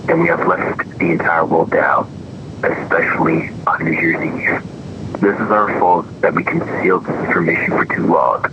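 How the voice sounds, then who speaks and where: Male actor as remorseful president, low voice, solemn, deliberate, formal farewell address, animated disaster drama, noisy rumbling broadcast. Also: highs cut off. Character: grave, remorseful male president giving a final doomsday address.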